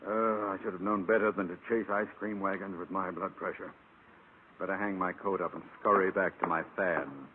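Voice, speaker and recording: A man's voice: low voice, steady tone, normal speed, lightly acted. An American man acting as a blustering, irritable middle-aged school principal in a vintage radio comedy, pompous and exasperated. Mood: grumbling. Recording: scratchy, narrow-band old radio recording, loud noise.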